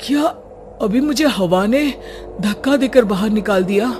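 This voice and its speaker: A woman's voice: mid-range voice, unhurried, darkish tone, faint music behind, natural voice. A woman voicing a curious, stubborn young village boy in a cartoon story, full of exclamations and questions. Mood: curious, surprised.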